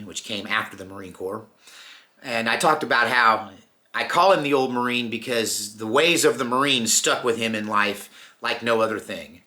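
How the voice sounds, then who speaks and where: A middle-aged American man telling personal stories informally, in a folksy, reflective manner with unhurried pauses. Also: medium pitch, bright, forceful tone. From Texas, United States. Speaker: storyteller, channel host.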